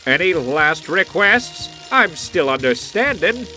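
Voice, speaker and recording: A boastful insect supervillain played by a man from a superhero radio play. He taunts theatrically in rhyme, with a high voice that swings widely in pitch. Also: sing-song, slow, pushed and bright, faint music behind, exaggerated voice. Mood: gloating, menacing. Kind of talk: superhero audio drama villain acting.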